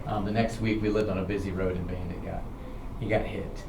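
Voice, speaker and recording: An American man tells informal personal stories in an animated, dramatic way, often checking in with his listeners. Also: low-pitched, a little fast. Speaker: storyteller, show host. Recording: roomy, distant, clearly noisy recording.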